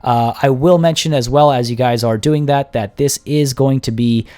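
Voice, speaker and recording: Young male streamer, upbeat and chatty, a bit hoarse by the end of the stream. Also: medium pitch, moderate pace, a little mellow. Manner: upbeat, chatty, grateful, many restarts. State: voice getting worn and hoarse. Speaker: livestream host and game caster. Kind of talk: casual livestream sign-off, reading chat aloud.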